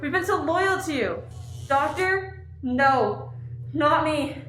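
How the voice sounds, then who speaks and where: Teen girl doing scared pleading crew member, radio play, super high voice, echoey. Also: expressive, slow pace, fairly bright tone, heavily acted. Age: teenager. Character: loyal, frightened crew member pleading in disbelief. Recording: echoey room, music behind.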